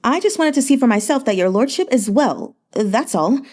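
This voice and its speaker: Woman voicing a young male merchant, fawning, lilting, wheedling, pitched up, lively. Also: normal speed. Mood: gushing. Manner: lilting, wheedling, full of little interjections.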